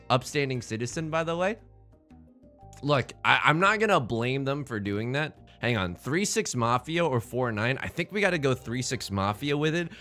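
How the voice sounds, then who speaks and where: Animated joking man over faint music. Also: a little high. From China. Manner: jokey, rambling, playful internet slang. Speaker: gaming channel host. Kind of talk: let's play game commentary.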